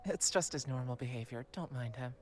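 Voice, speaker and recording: A man's voice: medium pitch, brisk, lightly acted. An actor playing a reserved, modest young man from a coffee shop in a visual novel game, understated and polite. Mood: calm, modest. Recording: music behind the voice.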